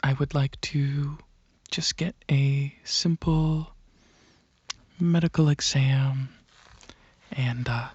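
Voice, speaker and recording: Soft breathy dragon alien scientist someone doing a roleplay, real slow n gentle, whispery asmr, kinda muffled close mic. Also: mid-range voice, steady tone, no room sound. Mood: gentle, curious. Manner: gentle, halting, reassuring. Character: calm, polite dragon alien researcher abducting a human. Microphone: close microphone. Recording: close, dry, slightly muffled recording.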